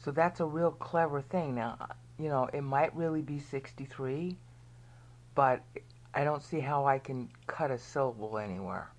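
Low-voiced American woman teaching scripture. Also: moderate pace. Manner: rambling, explanatory, often asks okay; drops to a near whisper at times. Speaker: Bible teacher. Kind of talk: screen-share Bible lesson.

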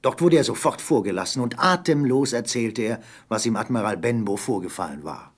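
A man with a husky voice narrating a scripted German radio play. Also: mid-range voice, lively intonation, a little fast.

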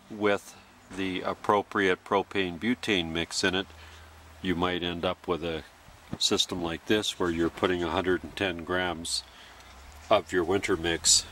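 A man with a low voice talks slowly and methodically, walking through each step of a demonstration. His tone is bright and clear, and a faint hiss of background noise sits under the voice-over. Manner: plain, methodical explaining with pauses. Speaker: gear demonstrator. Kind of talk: instructional voice-over.

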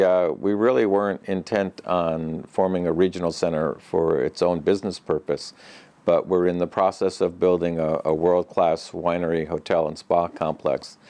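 An older man with a very low voice gives steady, matter-of-fact answers, pausing on small fillers and restarting phrases. The sound is dry and close, picked up by a clip-on mic in an office. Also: dry recording. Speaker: regional center president, interview guest. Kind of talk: business interview answers. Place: office with bookshelves, indoors. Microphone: lapel mic.

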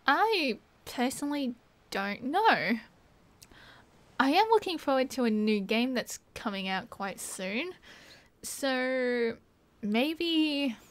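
A young woman with an Australian accent playing a cheerful, teasing partygoer in a casual ASMR roleplay, chatting in playful slang. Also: high-pitched. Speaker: roleplay voice actor. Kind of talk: casual ASMR roleplay, one side of a chat.

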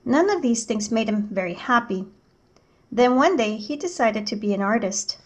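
A woman reading a picture book aloud as a teacher, in measured, clearly enunciated storytelling narration. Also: fairly high pitch, animated.

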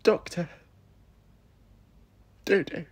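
A man voicing a grieving, resigned young woman in an audio drama, tender, halting and tearful. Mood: tearful, pleading. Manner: halting, emotional, tearful delivery.

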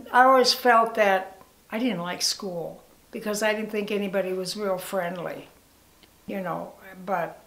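An elderly woman in her eighties, Italian her first language, talking slowly and thoughtfully about her past. Her voice sits mid-range and carries a roomy echo, as if the camera mic picks her up from across the living room. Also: medium pitch, fairly bright tone. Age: 80s. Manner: slow, reflective, candid. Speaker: interviewee. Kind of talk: documentary interview reminiscence.

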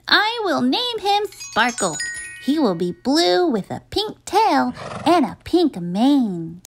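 Woman voicing an eager, bossy little girl, high-pitched. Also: big pitch swings, slow pace, soft background music, exaggerated voice. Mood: eager, dreamy. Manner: emphatic, exclaiming. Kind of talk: children's storybook character voice.

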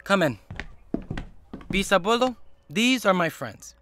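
Lively, chatty young host. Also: female voice, medium pitch, measured pace, a little hiss or hum. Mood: friendly, chatty. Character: friendly, chatty young great-granddaughter showing friends around. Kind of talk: audio drama acting.

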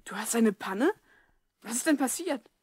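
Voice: medium pitch, lively intonation, moderate pace, husky, dry recording, clean studio sound. A performer playing an eager, curious boy of twelve in an audio drama. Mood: puzzled. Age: child character, twelve. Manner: eager, questioning. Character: Mark, curious, helpful twelve-year-old boy. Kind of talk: German audio drama acting.

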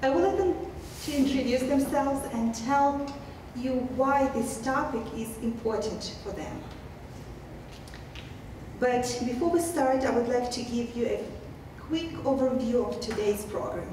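A woman in her thirties hosts an event, reading out a prepared introduction. Her voice is somewhat high. It comes through a very echoey room with clear background noise. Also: a little slow, far from the mic. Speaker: event host. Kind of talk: prepared welcome read aloud. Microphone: handheld microphone.

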